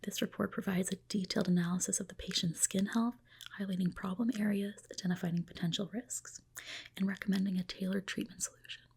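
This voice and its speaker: Woman, ASMR whisper, very breathy, crackly fry, gentle and reassuring, soft clinical roleplay. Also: mid-range voice, fairly even intonation, a little fast. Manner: gentle, polite, reassuring professional. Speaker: ASMR host roleplaying a dermatologist.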